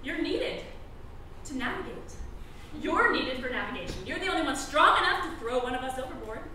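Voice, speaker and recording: Intense goading wife, stage drama style, super high swoopy voice, really echoey. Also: female voice, very animated, a little fast, heavily acted. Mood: insistent, pressing. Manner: insistent, melodramatic, swooping into shouts. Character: intense, goading wife pushing her husband through a cruel imaginary game. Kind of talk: theatrical dramatic acting. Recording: roomy, distant, faint noise.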